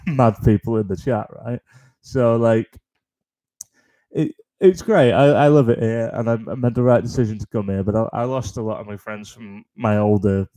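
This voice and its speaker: A man hosting a live comedy stream, rambling in a flat deadpan tone, with lots of ums, restarts and swearing. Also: medium pitch. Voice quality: deadpan, flat-toned delivery. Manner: self-deprecating, frequent ums and restarts, sweary. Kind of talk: rambling stand-up style chat on a live stream.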